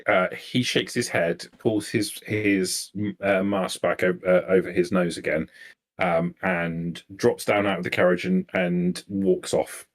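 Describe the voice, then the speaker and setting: A man narrating a tabletop roleplaying game as game master, halting as he searches for words. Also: sits low, steady tone.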